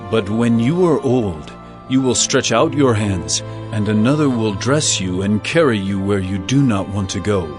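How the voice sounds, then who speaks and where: A man voicing Jesus as a calm, tender teacher in a dramatized scripture reading, speaking slowly and with measured care. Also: fairly low pitch, natural voice. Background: music.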